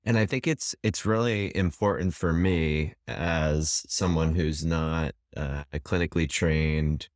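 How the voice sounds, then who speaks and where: A man with an extremely low, deep voice hosts the interview in a casual, enthusiastic way, full of fillers and restarts. The recording is dry and close. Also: steady tone, a little slow, hardly any pauses, dry recording. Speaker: podcast host.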